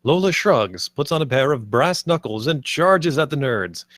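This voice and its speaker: A man's voice: mid-range voice, expressive, moderate pace, a bit bright, dry recording, clean studio sound. A man narrating the stage directions of a script at a table read.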